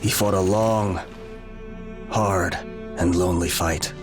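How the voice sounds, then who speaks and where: A man's voice: low voice, fairly even intonation, slow pace, music in the background. A man playing a grave, courteous army officer with formal manners in a video game cutscene. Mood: sombre.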